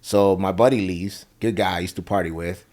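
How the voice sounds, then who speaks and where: A man's voice: deep voice, steady tone, moderate pace, a little mellow. Laid-back, foul-mouthed Salvadoran-born man. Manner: candid storyteller, swears freely. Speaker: podcast guest, real estate professional. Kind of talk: casual podcast conversation.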